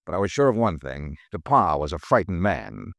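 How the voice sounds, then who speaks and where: Wry insurance detective narrating an old radio mystery, low, lively, dry and close. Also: male voice, moderate pace, gravelly, no echo, exaggerated voice. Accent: American. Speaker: lead and narrator. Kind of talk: 1950s radio drama acting.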